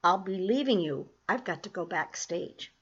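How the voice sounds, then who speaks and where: A woman's voice: lowish voice, animated, normal speed, slightly rough, highs cut off. A woman reading as a chatty theater manager. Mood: friendly.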